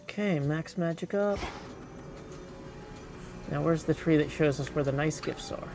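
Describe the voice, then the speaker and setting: A man's voice: on the high side, unhurried, gravelly, music in the background. A man giving casual gameplay commentary, talking to himself as he plays. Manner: talks aloud to himself while playing. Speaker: video game streamer.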